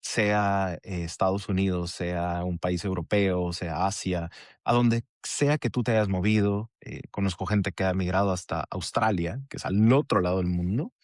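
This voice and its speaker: A man with a low voice hosts a relaxed podcast conversation. He talks in a reflective, easygoing way and often chimes in with short affirmations. The recording is dry and close, with no room sound. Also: unhurried, no echo. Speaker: podcast host. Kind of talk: casual interview chat.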